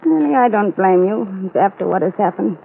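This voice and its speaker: Cool seductive spy, creaky, vintage radio. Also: female voice, mid-range voice, lively intonation, moderate pace. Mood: assured, businesslike. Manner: poised, coolly teasing. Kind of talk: 1940s-style radio drama acting. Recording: muffled old radio broadcast, faint noise.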